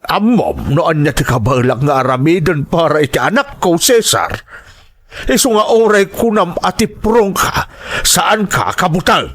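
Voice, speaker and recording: A man's voice: a little high, big pitch swings, a little slow, fairly bright tone, full character voice. A man playing a stern, suspicious older father in an audio drama, protective and probing. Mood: stern, threatening. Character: stern, suspicious elderly father-in-law, protective of his daughter.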